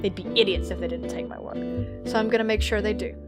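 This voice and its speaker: A woman's voice: mid-range voice, fairly even intonation, fast talker, loud music behind the voice, lightly acted. Haughty, snappy young woman photographer, voiced by a gamer. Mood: confident, haughty. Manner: haughty, sharp, grudging. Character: snobby, curt, bitchy young woman photographer.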